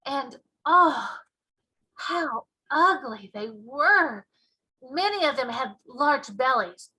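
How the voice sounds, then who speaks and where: Female teacher, storybook read-aloud, expressive narration, deliberate phrasing, bright high voice. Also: low-quality recording.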